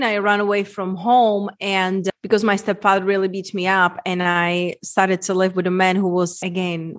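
A woman in her forties with a Czech accent, earnestly telling her personal story as a podcast guest, repeating phrases for emphasis. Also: medium pitch. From Czech Republic. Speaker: podcast guest, women's transformation coach.

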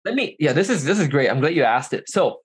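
A man's voice: fairly high pitch, sing-song, quick pace, slightly rough. A man in his twenties from Malaysia, telling his personal story as a podcast guest with emphatic repetition. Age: 20s.

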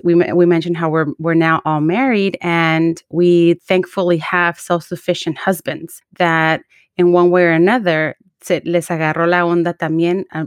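A woman's voice: on the low side, no room sound, clean studio sound. A woman co-hosting an interview podcast, reflecting on her experiences in a mix of English and Spanish. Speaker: podcast co-host. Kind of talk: interview conversation.

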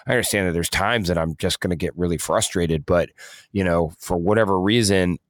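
A man with a low voice talks as a podcast co-host in a casual discussion. His manner is earnest and informal, and the recording is close and dry. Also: brisk, no echo. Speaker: podcast co-host, music artist manager.

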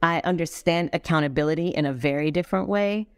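A woman's voice: deep voice, fast, clear, resonant tone, dry recording, clean studio sound. A middle-aged woman guest speaking earnestly and thoughtfully in a casual interview. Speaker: guest, executive director of a foundation.

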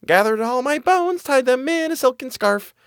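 A man singing as a high-voiced magical storybook bird. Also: animated, normal speed, fairly bright tone, dry recording, clean studio sound, exaggerated voice. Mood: plaintive singing. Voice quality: high, singsong. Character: magical singing bird, eerie sweet ghost of a boy. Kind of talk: sung storybook verse.

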